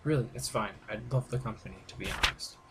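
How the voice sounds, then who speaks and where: A man's voice: fairly low pitch, fast. A man hosting a gaming video, reading the characters' dialogue aloud in a playful, informal way with some stumbles. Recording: very noisy gameplay recording with clicks.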